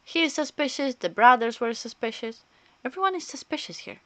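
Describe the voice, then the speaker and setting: A woman's voice: sits high, lively intonation, brisk, pushed and bright, no room sound. A woman streaming a game, commenting casually in a chatty, rambling, hesitant way. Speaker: livestreamer.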